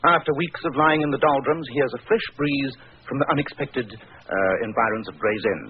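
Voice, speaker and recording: An actor playing a keen, commanding English gentleman detective, precise and urbane, in theatrical 1940s radio style. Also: medium pitch, moderate pace, muffled recording, lightly acted. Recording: thin, hissy 1940s radio recording.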